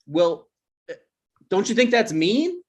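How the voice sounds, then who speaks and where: A man's voice: high-pitched, big pitch swings, slow pace, full character voice. A man playing an insecure, jealous boyfriend and grad student in a comedy table read, speaking in a hesitant, stammering way. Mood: hurt, indignant. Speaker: actor in a screenplay table read.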